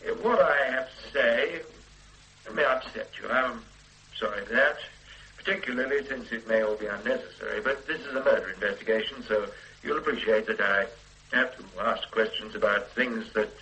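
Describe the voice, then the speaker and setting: An actor in a radio drama playing a police superintendent who questions people in a calm, formal and persistent way. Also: male voice, lowish voice, moderate pace, a little hiss or hum, rough recording. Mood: measured. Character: measured, dogged police superintendent. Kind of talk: 1980s radio drama acting.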